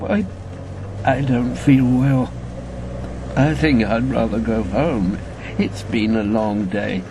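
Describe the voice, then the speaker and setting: A weary old man of about eighty, a former agent in a radio drama. He is hesitant and evasive, with a gravelly creak and slow, halting speech over a faint vehicle rumble. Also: steady tone. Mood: pleading, anxious.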